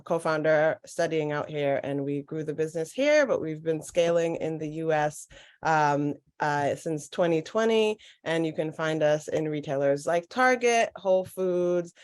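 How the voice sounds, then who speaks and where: American woman co-founder, low voice, smooth, measured. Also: a little mellow. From Boston, USA. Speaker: co-founder and brand officer. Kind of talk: panel introduction.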